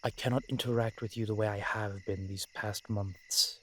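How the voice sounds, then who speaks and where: A man playing a brooding, protective vampire in an audio roleplay, speaking in formal, measured phrases with dramatic pauses. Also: lowish voice, fairly even intonation, a bit bright, slight background noise, lightly acted. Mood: tender, sorrowful. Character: brooding, protective male vampire, old-fashioned and frustrated. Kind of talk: scripted audio roleplay acting addressed to the listener.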